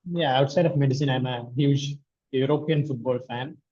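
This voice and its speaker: A young man from India, a medical student presenting a case in an earnest, measured way over a video call. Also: medium pitch, steady tone, dark tone, lo-fi sound.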